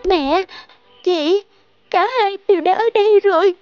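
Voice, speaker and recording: A woman voicing an emotional, hesitant young girlfriend in love in an animated drama. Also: extremely high pitch, unhurried, no room sound, lo-fi sound, soft background music. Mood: moved, amazed.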